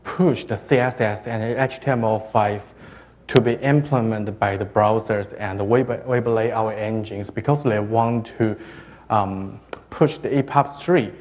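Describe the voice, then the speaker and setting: A man giving a technical conference presentation on web standards. He speaks in a hesitant, halting way, restarts often and uses non-native phrasing. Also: fairly low pitch, steady tone, darkish tone.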